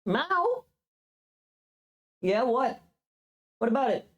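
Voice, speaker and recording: A woman's voice: on the high side, sing-song, drawn-out words, lo-fi sound. A woman livestream host chatting casually, rambling playfully and muttering to herself as she goes.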